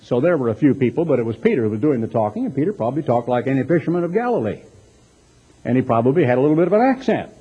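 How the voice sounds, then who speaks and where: Husky, animated Texan preacher, a man. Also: medium pitch, very fast. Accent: American, Texas. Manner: emphatic, dramatic delivery with rhetorical questions and mocking asides. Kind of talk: sermon with scripture reading and commentary. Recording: dull lo-fi sermon recording.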